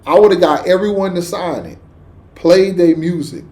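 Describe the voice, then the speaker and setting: A man gives casual reaction commentary in a clearly high voice for a man. He talks in slang and leans hard on his points. He often circles back and repeats his phrases. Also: moderate pace, a little mellow. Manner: slangy, emphatic, repeats himself. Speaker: reaction show host.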